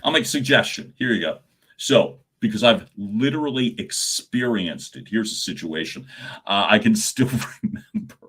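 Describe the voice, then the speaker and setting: A middle-aged man with a loud speaking voice coaches viewers on a live stream, and his tone is bright and forceful. He talks in lively bursts, with his pitch and volume rising and falling. He often chuckles between points. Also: moderate pace, slightly rough.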